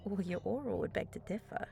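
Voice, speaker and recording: A woman voicing a flirty, teasing female vampire in an ASMR roleplay, with a breathy voice that often drops to a whisper. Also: medium pitch, darkish tone, faint music behind, natural voice. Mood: amused probing. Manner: slow, teasing, coaxing.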